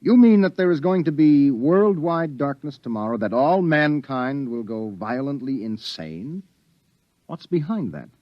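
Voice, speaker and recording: A man's voice: medium pitch, expressive, measured pace, no echo, close to an everyday voice. An actor playing a young male reporter in a 1950s radio drama, asking skeptical questions. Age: young adult. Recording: dull old radio recording.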